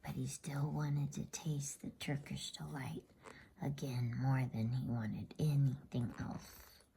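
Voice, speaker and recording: A woman whispers slowly and soothingly as she hosts and reads an ASMR bedtime story in a breathy, hushed voice. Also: rumbling low, little pitch movement, slight background noise.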